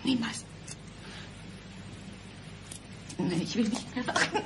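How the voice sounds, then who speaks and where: An actress playing a frightened, despairing wife who awaits her murder, speaking haltingly in a German radio crime drama. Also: on the high side, big pitch swings, in a whisper. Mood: desperate. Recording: 1990s radio play with music behind.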